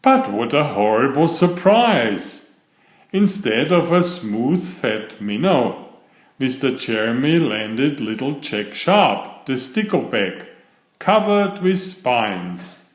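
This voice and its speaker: A man reading a children's story aloud in an expressive, deliberate, dramatic manner, stretching key words. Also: mid-range voice. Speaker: children's story narrator and author. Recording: roomy, echoey sound.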